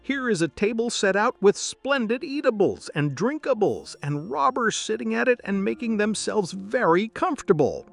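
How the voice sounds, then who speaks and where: A man voicing a brisk, bossy, encouraging donkey in a storybook tale. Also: high-pitched, sing-song, vocal fry, dry recording, voice pushed up, full character voice. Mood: excited. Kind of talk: storybook character voice.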